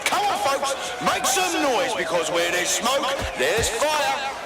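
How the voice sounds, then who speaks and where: Rowdy fight club announcer, super high yelling voice, theatrical, loud music behind. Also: male voice, very animated, takes it slowly, full character voice. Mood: frenzied excitement. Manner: shouting, theatrical, foul-mouthed. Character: rowdy hype-man announcer of a brutal underground fight club. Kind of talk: bombastic fight commentary.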